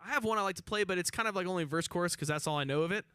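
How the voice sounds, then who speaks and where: A man's voice: sits high, rapid-fire. Man, easygoing chat with many fillers, radio studio mic, acoustic guitar playing underneath. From Nashville, Tennessee. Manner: loose, chatty, laughing, many fillers. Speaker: singer-songwriter guest. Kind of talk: casual chat between songs. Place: radio station live studio.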